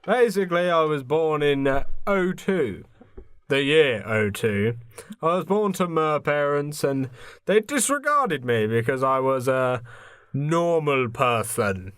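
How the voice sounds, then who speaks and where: A man's voice: on the high side, big pitch swings, takes it slowly, a bit bright, clear, resonant tone, dry recording, exaggerated voice. A man acts out an ancient, pompous mayor in a comic radio play. He rambles, repeats himself and bristles with indignation, lewd and doddery, in a slightly raised voice recorded close and dry. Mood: rambling.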